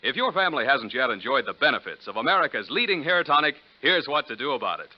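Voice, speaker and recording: A man's voice: medium pitch, big pitch swings, quick pace. A man announcing and advertising in an emphatic, polished 1940s radio style. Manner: emphatic, polished salesman delivery. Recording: muffled 1940s radio recording with music.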